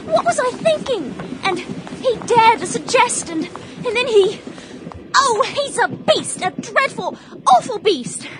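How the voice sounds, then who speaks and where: An actress playing a naive young Victorian English gentlewoman in a radio drama, breathless, proper and easily flustered. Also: big pitch swings, takes it slowly, full character voice. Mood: distraught, indignant. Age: young adult. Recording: clear train rumble behind voice. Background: train carriage ambience.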